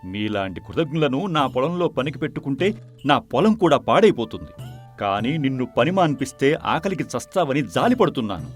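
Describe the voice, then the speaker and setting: Indignant villager for story drama, male voice actor: medium pitch, very animated, scolding but with some pity, over music. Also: a little fast, lightly acted. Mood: scornful, angry. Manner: emphatic, reproachful questioning. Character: indignant village man, blunt and scolding yet pitying. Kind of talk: dramatized moral story acting. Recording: music behind the voice.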